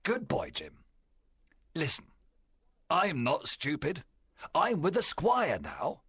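Fierce storybook pirate captain: bright, forceful rasp, wildly swooping, commanding and cunning. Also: male voice, fairly high pitch, huge pitch swings, dry recording, pitched above the performer's own voice, exaggerated voice. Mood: sly, conspiring. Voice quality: strained, gravelly rasp. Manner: theatrical, commanding, drawn-out delivery. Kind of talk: dramatized audiobook character voice.

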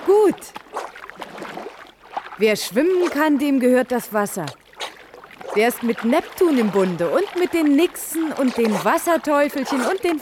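An actress playing a spirited young student lifeguard in a radio play, with a direct, encouraging manner. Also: female voice, sits high, full character voice. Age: young adult. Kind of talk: German radio play acting. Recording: noisy outdoor sound scene with water.

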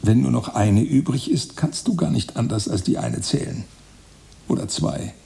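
An actor playing an obsessive, meticulous writer in a radio drama, listing and calculating with methodical precision. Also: male voice, medium pitch, expressive, moderate pace, creaky, mellow. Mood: driven. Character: obsessive man, a writer, meticulously planning his austere seclusion. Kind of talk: radio drama monologue, German.

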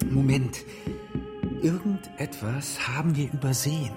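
An actor playing an earnest, methodical companion in a German historical radio drama, reasoning deliberately step by step. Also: male voice, mid-range voice, over music, natural voice. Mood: reasoning, puzzled. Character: monk's earnest, methodical male companion working through a crime.

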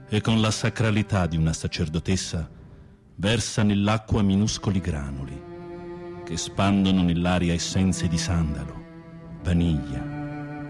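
A swooping, flamboyant, ironic prisoner. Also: male voice, low voice, darkish tone. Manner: theatrical, teasing, arch. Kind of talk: radio drama acting.

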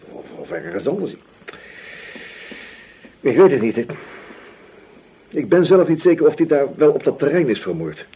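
A man acting a thoughtful, suspicious police inspector in a radio drama, speaking hesitantly with musing pauses. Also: fairly high pitch, very animated, mellow, slight background noise, poor audio. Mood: probing, suspicious.

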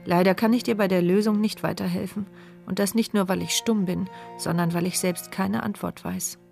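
A woman's voice: mid-range voice, steady tone, normal speed, gravelly, a little mellow, soft background music, lightly acted. An actress voicing the inner thoughts of a helpless, mute woman in a radio crime drama, inward and watchful. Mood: reflective. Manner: inward, watchful, tense phrasing. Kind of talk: first-person radio drama monologue.

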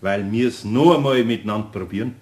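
A man's voice: fairly low pitch, darkish tone, close to an everyday voice. Man playing an older Bavarian craftsman, roomy sound. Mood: softening, resigned. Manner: unhurried, homely, dry. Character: gruff but kindly older Bavarian master craftsman. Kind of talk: German children's radio play acting.

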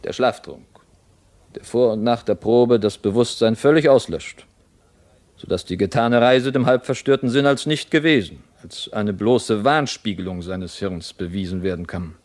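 An actor in a radio play as a cold, insistent court schemer with formal, deliberate stage diction. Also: male voice, fairly low pitch, a little mellow, lightly acted. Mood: coldly insistent. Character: calculating, guarded male court schemer, coldly insistent. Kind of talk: German radio play acting.

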